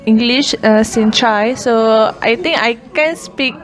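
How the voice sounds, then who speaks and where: A woman's voice: a little high, slow pace, faint music behind. A young woman from Malaysia, a university student whose first language is Malay, answering interview questions in English with a Malaysian accent and many "uh" and "like" fillers. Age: young adult. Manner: hesitant, frequent "uh" and "like" fillers.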